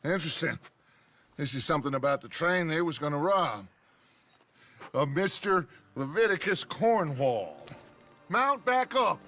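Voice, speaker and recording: Grandiose, gravelly outlaw gang leader. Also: male voice, fairly high pitch, very animated, measured pace, pauses often, a bit bright, soft background music, exaggerated voice. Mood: pleased, commanding. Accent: American. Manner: theatrical, oratorical, commanding. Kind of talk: dramatic Western video game acting.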